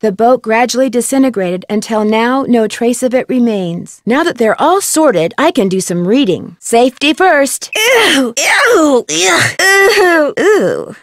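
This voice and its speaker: An actress playing a curious, determined young detective in an adventure game, with an American accent and clear, expressive delivery. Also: female voice, high-pitched, measured pace, hardly any pauses, no echo, clean studio sound. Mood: curious, thoughtful, then disgusted, straining. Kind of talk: scripted adventure game voice lines.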